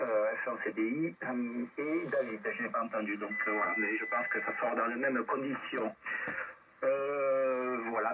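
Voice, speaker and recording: A man chatting casually and a little hesitantly as he checks in over amateur radio. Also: mid-range voice. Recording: muffled, distant, faintly noisy radio transmission.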